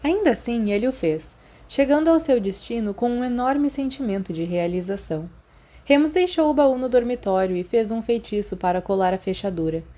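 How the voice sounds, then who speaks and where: A woman's voice: fairly high pitch, animated, moderate pace, warm tone. A woman with a Brazilian accent, reading scripted fan fiction as an audiobook narrator.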